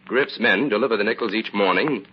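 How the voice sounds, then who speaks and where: An actor playing a calm, shrewd crime investigator in an old-time radio drama, explaining things crisply and confidently. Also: male voice, fairly low pitch, a little fast, lightly acted. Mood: explaining, serious. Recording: muffled, hissy old radio recording.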